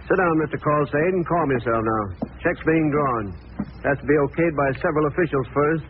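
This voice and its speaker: A man acting in a 1940s radio drama as a polite insurance official, formal, measured and dryly suspicious. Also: fairly high pitch, lightly acted. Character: polite, sceptical adult male insurance official. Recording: tinny, hissy old radio recording.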